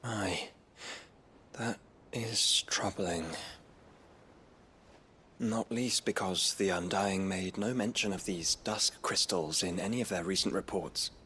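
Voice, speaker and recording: A man's voice: sits low, slow pace, sharp tone, a little hiss or hum, full character voice. An actor playing a courtly, earnest fantasy nobleman in a video game, with formal and thoughtful phrasing. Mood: concerned. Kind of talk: dramatic video game acting.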